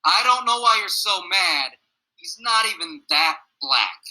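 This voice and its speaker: A man's voice: high voice, animated, unhurried, bright, forceful tone, low-quality recording. A young man, a middle school teacher, talking earnestly with his students on a video call and telling a personal story. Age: young adult. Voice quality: gruff. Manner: earnest, reflective, asks students questions.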